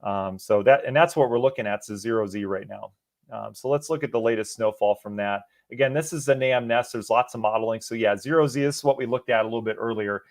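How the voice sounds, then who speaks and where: A man, a meteorologist, casually answering viewers' questions during a live stream, sounding a little hoarse and sniffly as his voice starts to go. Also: fairly low pitch, fast talker, no room sound, studio quality. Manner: easygoing, chatty, answers viewers by name. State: losing his voice, a bit sniffly.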